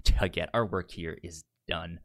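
A man co-hosting a casual film discussion, halting and often repeating words as he works out his point. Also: sits low, fairly even intonation, fairly bright tone. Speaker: podcast co-host.

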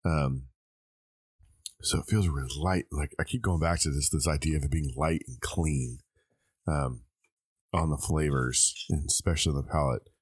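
Very deep-voiced congested man. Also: steady tone, moderate pace, husky, no echo. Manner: thinking aloud with many ums. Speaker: host tasting liquor blind. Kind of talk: casual tasting chat.

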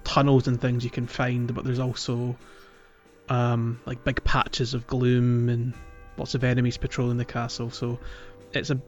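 A male podcast host talks through a game in a casual, explanatory way. His voice is mid-pitched and sounds slightly dull and lo-fi, with music clearly playing behind him. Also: completely flat delivery, normal speed. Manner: conversational, explanatory. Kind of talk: casual chat, game playthrough commentary.

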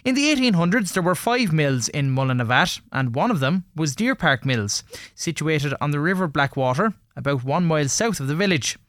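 A man's voice: on the high side, lively intonation, moderate pace, fairly bright tone, dry recording, studio quality. A man with an Irish accent presenting a radio programme, reading a scripted introduction as host.

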